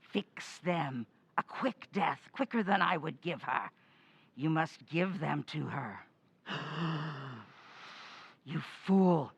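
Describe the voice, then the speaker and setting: A woman's voice: low-pitched, gravelly, fairly bright tone, breathy edge, no echo, lo-fi sound, full character voice. A woman playing a menacing, bloodthirsty undead old woman who taunts and commands in old-time radio horror style. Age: older. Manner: slow, taunting, commanding, gloating.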